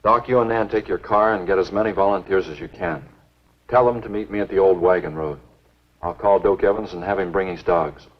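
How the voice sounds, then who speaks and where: An actor playing a calm, take-charge man leading a search party, in a brisk, authoritative 1950s B-movie style. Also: deep voice. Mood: calm, concerned. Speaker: film actor. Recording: muffled old 1950s film soundtrack, music behind.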